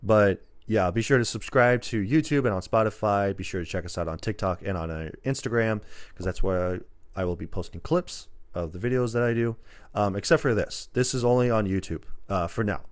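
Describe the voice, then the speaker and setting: A man's voice: on the low side, fairly quick. A man hosting a podcast in casual, informal chat, rambling as he goes. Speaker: podcast host.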